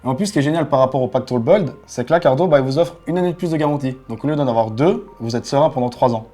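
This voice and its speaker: Quick, informal man over light music. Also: medium pitch, dark tone. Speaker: co-host of a motorcycle channel. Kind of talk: casual product review. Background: background music.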